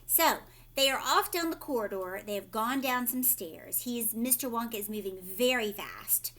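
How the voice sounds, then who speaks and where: High, animated woman reading to children. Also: normal speed. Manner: questioning, explaining simply to children. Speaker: teacher reading aloud to children. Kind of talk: expressive storybook read-aloud with commentary.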